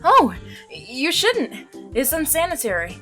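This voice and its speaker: A woman's voice: sits high, wildly swooping pitch, slow, fairly bright tone, exaggerated voice. A woman voicing a shy, naive, nerdy young milkman in a visual novel, stammering and earnest. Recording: music behind the voice.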